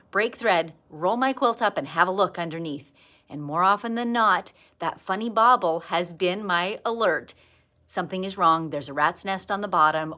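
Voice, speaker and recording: An older woman from Canada with a Canadian accent, hosting a casual quilting livestream, greeting viewers by name and chatting about her craft. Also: lowish voice. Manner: chatty, warm greetings to viewers, lively bursts of excitement. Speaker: quilting teacher and livestream host. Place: indoor sewing studio.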